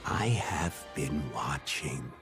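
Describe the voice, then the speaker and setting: A man playing a sinister all-seeing otherworldly being in a video game, speaking slowly and portentously. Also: rumbling low, animated, heavily acted. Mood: ominous. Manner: slow, portentous. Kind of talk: video game cutscene acting. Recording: loud music behind.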